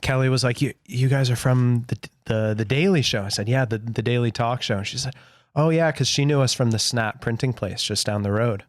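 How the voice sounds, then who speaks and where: A man co-hosting a podcast, chatting casually and reading out a food order in a relaxed, plain way. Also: medium pitch, fairly quick, no echo. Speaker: podcast co-host. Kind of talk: casual chat.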